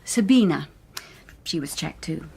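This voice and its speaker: A woman's voice: deep voice, animated, measured pace, creaky, darkish tone, full character voice. An actress playing a shrewd, secretive British intelligence officer in a radio drama, intimate and pointed in her delivery. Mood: confiding.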